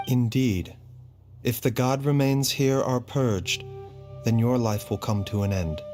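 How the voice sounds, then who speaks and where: A man playing a composed physician in a video game, speaking in a measured, formal and clinical manner. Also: medium pitch, fairly even intonation, darkish tone, faint music behind, lightly acted. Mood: somber.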